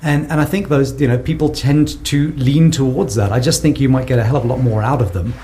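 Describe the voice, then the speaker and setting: South african man, podcast guest, mellow tone, thoughtful, restarts his phrases. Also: medium pitch, fairly quick, slight background noise. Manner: thoughtful, repeats words while building a point. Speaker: guest researcher. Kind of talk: conversational podcast interview.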